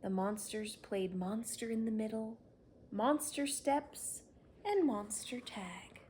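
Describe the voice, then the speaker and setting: A woman's voice: a little high, takes it slowly, darkish tone, a little hiss or hum. A woman reading a children's story aloud, narrating expressively and without hurry. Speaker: storybook reader.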